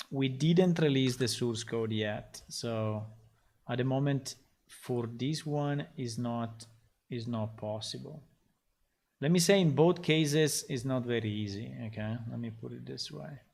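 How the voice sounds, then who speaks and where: A man, a game developer, talking casually on a live stream as he works, thinking aloud and halting often with fillers. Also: mid-range voice, creaky, a little mellow. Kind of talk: casual live-stream talk while working.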